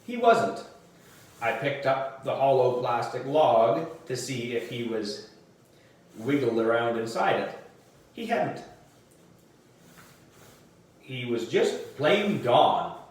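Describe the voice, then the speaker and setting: A man reading a children's story aloud in an animated way, with dramatic emphasis. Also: mid-range voice, slow, pauses often. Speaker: audiobook reader for a book club. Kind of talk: expressive children's storybook narration. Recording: roomy echo, distant microphone.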